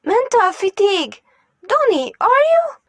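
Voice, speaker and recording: A woman voicing an excitable, caring young boy, an eager and bubbly little brother in an amateur comic dub. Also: extremely high pitch, unhurried, no echo, studio quality, exaggerated voice. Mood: alarmed.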